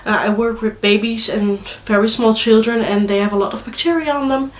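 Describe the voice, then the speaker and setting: Young dutch-accented woman, stuffy with a cold, slightly high voice, chatty and hesitant, echoey room. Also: steady tone, a little fast. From Netherlands. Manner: chatty, hesitant, many fillers and restarts. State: congested with a cold. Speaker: vlogger. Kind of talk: casual chat to camera.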